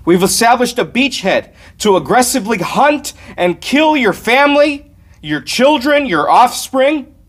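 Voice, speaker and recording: A man's voice: very high-pitched, big pitch swings, unhurried, fairly bright tone, heavily acted. A man performing a comic film monologue as a cocky, indignant, argumentative ranter. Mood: cocky menace. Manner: emphatic, argumentative. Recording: faint background room noise.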